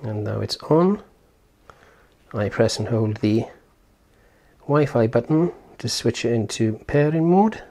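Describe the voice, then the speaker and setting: A man's voice: mid-range voice, lively intonation, slow pace, pauses often, mellow. A man giving casual hands-on product commentary as a reviewer, explaining step by step and thinking aloud informally. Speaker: tech reviewer and presenter.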